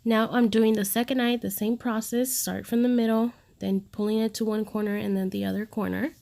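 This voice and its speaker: A woman's voice: on the high side, level tone, fairly quick, creaky, warm tone. A woman in her thirties, a makeup artist with a stuffy nose from a cold, narrating a casual step-by-step tutorial voice-over. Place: home studio, recorded separately as voice-over.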